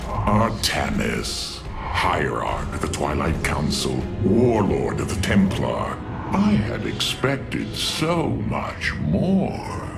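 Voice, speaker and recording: Game villain: arrogant, vengeful alien overlord. Rock-bottom gravelly register, slow haughty delivery, theatrical. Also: male voice, extremely low pitch, huge pitch swings, over music, exaggerated voice. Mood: mocking. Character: arrogant, vengeful male alien warlord, coldly sardonic. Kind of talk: dramatic video game voice acting.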